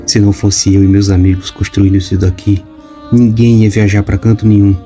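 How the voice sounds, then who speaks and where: A man's voice: lowish voice, monotone, brisk, mellow, soft background music, close to an everyday voice. A man voicing a bitter, stranded male construction worker, complaining in slang.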